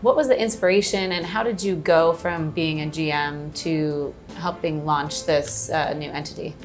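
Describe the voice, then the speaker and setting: A woman interviewing a guest for a magazine, asking brisk, prepared questions and adding short encouraging remarks. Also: sits low, fairly even intonation. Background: music.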